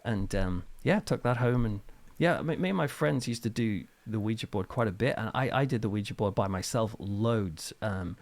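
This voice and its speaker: A middle-aged man with a British accent and a fairly low voice. He talks casually as a guest in an interview. His delivery is informal and chatty, like someone animatedly sharing anecdotes. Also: lively intonation, normal speed, hardly any pauses, no echo. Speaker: interview guest, author and reverend.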